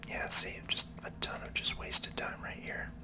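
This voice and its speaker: A person whispering relaxed, unhurried ASMR commentary while playing a video game. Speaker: video game player and channel host.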